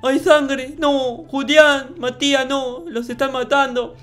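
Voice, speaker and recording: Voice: high-pitched, little pitch movement, slow, fairly bright tone, faint music behind. Someone voicing a whiny, frightened little noob boy. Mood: panicked, tearful. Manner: whiny, stammering, repeating words in childish panic. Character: naive, easily frightened childlike noob boy in Minecraft roleplay. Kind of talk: improvised in-character gaming roleplay.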